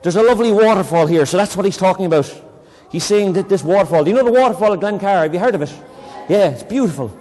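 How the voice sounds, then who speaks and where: An Irish man reading a poem aloud to children, reciting slowly and dramatically and breaking off into playful, chatty explanations. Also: high voice, animated, a little mellow, faint music behind. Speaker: poetry reader leading children. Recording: long reverb in a hall.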